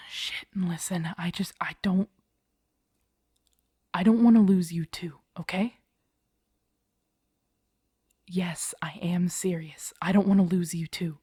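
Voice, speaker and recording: A woman playing a protective, guarded young survivor of a zombie apocalypse in an intimate audio roleplay, with hushed, urgent, halting delivery. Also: lowish voice, fairly even intonation, breathy edge, no echo, studio quality. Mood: anxious, pleading.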